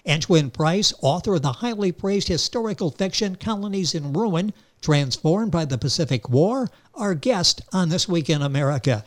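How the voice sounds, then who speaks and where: Higher-voiced male radio host. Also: lively intonation, normal speed, few pauses. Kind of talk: radio interview.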